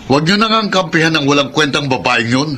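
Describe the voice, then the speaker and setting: An actor playing a proud, self-pitying husband and son, wounded and melodramatic, in a Filipino radio drama. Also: male voice, fairly high pitch, expressive, quick pace, pushed and bright. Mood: scornful. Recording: noisy ambience behind the voice.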